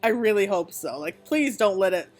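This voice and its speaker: A woman's voice: medium pitch, lively intonation, moderate pace. A woman game streamer reacting casually and gushingly to a game, sometimes reading its text aloud. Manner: gushing, sentimental. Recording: game music behind the voice. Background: video game music.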